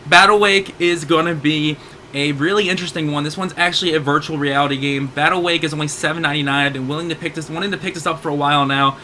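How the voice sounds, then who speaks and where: A hoarse man in his thirties hosting a gaming channel, rambling through a casual game sale rundown and often correcting himself. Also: on the high side, steady tone, fast talker, sharp tone, a little hiss or hum. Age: 30s. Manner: rambling, self-correcting, upbeat.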